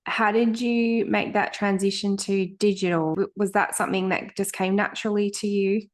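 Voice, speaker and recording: A woman's voice: medium pitch, steady tone, normal speed. A woman hosting an interview podcast, gushing with compliments as she introduces and questions her guest. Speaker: podcast host.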